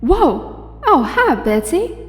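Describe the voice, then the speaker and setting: A woman's voice: sits high, big pitch swings, slow pace, exaggerated voice. A woman playing a breezy, kind young superheroine with a Southern US accent and a folksy, easygoing manner. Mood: casual. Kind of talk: audio drama acting. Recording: echoey hall reverb, music underneath.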